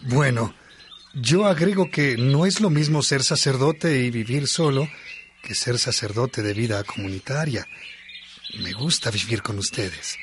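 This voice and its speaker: An actor playing a devout, respectful disciple in a radio drama, with birdsong clearly heard behind him. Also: male voice, medium pitch, unhurried, background noise, close to an everyday voice. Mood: earnest, devout. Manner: respectful, earnest. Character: Faustino, devout, eager disciple content with communal life.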